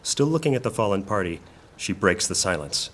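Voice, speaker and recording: A man narrating a scripted fantasy audio drama in a deliberate, descriptive storytelling manner. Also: on the low side, very animated, fairly bright tone, a little hiss or hum.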